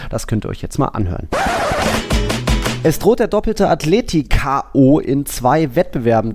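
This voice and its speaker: A man hosting a football podcast, steering the casual chat in a relaxed way and introducing a guest. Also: mid-range voice, vocal fry. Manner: relaxed, steers the talk with short backchannels. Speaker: podcast host.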